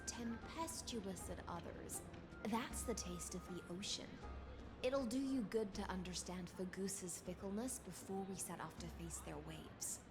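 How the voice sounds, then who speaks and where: Woman acting as fantasy game siren, noble, poised, teasing, measured formal delivery, medium pitch, loud music behind. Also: lightly acted. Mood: silky, poised. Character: poised, teasing siren noblewoman, loyal secret blade of an emperor.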